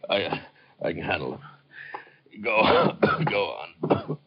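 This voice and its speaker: Wounded criminal father, male, lowish voice, scornful and snappish, labored delivery, muffled 1950s radio drama sound. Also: lively intonation, takes it slowly, very noisy, full character voice. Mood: strained. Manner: coarse slangy tough talk, mocking and snapping. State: coughing, labored from a gunshot wound. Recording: dull, band-limited 1950s radio recording.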